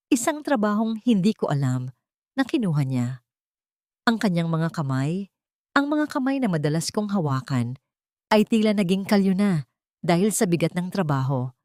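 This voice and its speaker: A woman with a Filipino accent reading a dramatic first-person story in a measured, solemn and emotive way. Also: fairly low pitch, a little mellow, dry recording, studio quality. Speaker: audiobook narrator.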